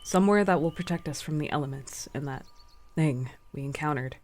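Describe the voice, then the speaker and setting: A woman's voice: sits low, fairly quick, a little mellow, a little hiss or hum, lightly acted. A woman playing a cautious, commanding spaceship captain in a radio drama, speaking with firm authority. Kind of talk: audio drama acting. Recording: music behind the voice.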